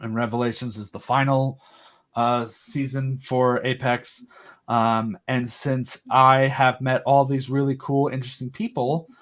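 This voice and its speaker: A man's voice: mid-range voice, flat delivery, a little slow, fairly bright tone. A man talking as a guest in a casual chat, enthusiastic and rambling, with lots of fillers and restarts. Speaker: podcast guest and audio drama creator.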